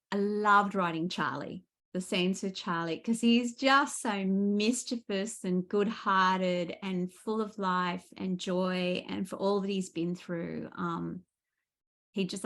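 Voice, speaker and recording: A woman chatting casually as a guest author, thoughtful and animated in how she explains things. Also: medium pitch, unhurried. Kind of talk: casual book interview chat.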